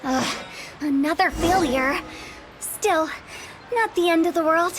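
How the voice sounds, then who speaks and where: A high, impish young funeral director. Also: female voice, takes it slowly, background noise. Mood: salesy. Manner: teasing, giggly, morbid humor. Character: mischievous young female funeral parlor director, playful and morbid. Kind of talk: video game cutscene dialogue. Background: game music.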